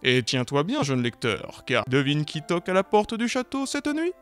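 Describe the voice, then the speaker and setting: A man's voice: a little high, wildly swooping pitch, normal speed, a bit bright, soft background music. A man narrating a cartoon fairy tale in a mock-solemn, playful way. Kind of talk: storybook narration.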